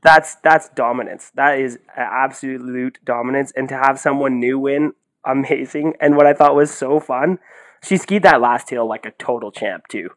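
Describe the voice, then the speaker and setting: A man co-hosting a sports podcast, talking in an excitable, effusive, slangy way in casual commentary. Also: mid-range voice, normal speed.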